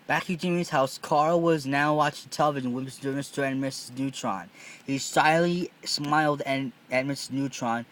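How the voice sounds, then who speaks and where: A man's voice: medium pitch, steady tone, normal speed, fairly bright tone. A man narrating a storybook read-along, often stumbling and repeating phrases as he reads.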